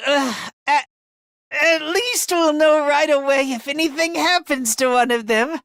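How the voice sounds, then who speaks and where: A man voicing a timid, self-deprecating yet excitable teenage boy in a video game, with a hesitant, stammering delivery and theatrical anime-style acting. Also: extremely high pitch, measured pace, dry recording, studio quality, exaggerated voice. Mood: meek, uneasy. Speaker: voice actor.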